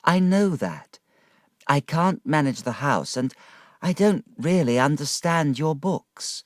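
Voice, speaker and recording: A man voicing a fragile, affectionate, childlike young wife with gentle pleading in an audiobook reading. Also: fairly high pitch, expressive, slow, dry recording, clean studio sound, pitched above the performer's own voice. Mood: loving, pleading.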